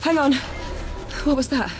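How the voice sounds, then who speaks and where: Intense, higher-voiced rebel heroine. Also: female voice, expressive, measured pace. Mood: alarmed. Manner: intense, terse, emotional. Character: defiant, driven young female memory hunter, impulsive rebel. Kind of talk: dramatic video game acting. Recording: loud music over the voice.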